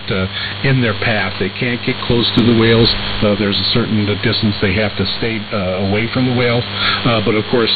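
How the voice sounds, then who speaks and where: A man hosting a casual radio mailbag segment, reading listener emails and commenting in a halting, hesitant way with many uhs and repeated words. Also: fairly low pitch. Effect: shortwave radio transmission. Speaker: radio show host. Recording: hissy narrowband shortwave radio reception, clear noise.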